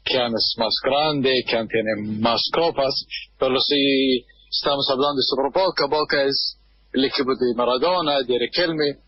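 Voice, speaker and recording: A man from Kuwait, a guest on a radio interview, speaking hesitantly with many pauses while he searches for words. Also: medium pitch, breathy edge, highs cut off. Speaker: guest, football fan. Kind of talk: radio interview over a line.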